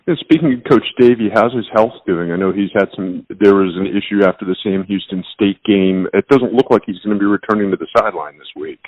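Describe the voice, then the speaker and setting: A man's voice: on the low side, brisk, hardly any pauses, no echo, muffled recording. A man hosting a sports show, putting questions to a guest in a conversational interview style.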